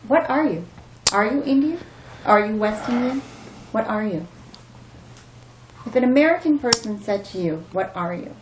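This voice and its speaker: A woman's voice: on the high side, expressive, moderate pace, pauses often. A woman hosting a talk show speaks conversationally as she interviews a guest. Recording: echoey room with faint background noise.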